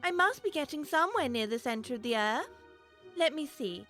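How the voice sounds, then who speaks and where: A woman's voice: sits high, big pitch swings, moderate pace, a bit bright, faint music behind. A woman voicing a curious, chatty, imaginative little girl who muses aloud to herself in a storybook audiobook. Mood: wondering. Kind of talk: full-cast audiobook acting.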